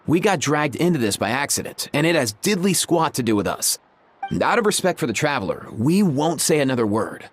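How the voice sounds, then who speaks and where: A wary young bystander in a video game who answers quickly and deferentially. His voice is fairly high and lively, with a faint hiss behind it. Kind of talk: video game cutscene dialogue.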